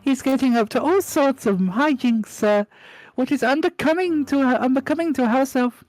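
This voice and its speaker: A woman voicing a timid female house elf servant with a high, quivering squeak and broken, deferential grammar. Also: normal speed, darkish tone, close to an everyday voice, low-quality recording. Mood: timid servile.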